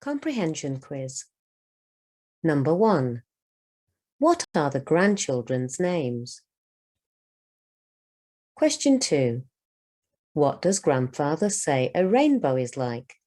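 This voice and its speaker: A woman teacher reading a children's book aloud for language learners, with careful, measured enunciation. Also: deep voice, sing-song, dark tone. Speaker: English teacher.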